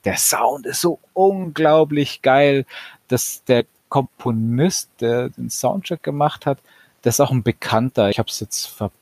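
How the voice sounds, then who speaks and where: An enthusiastic man chats on a gaming podcast, mid-pitched, dropping casual swears and restarting his sentences. The sound is dry and close, with no room echo. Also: measured pace, darkish tone. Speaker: podcast co-host. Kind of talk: casual chat.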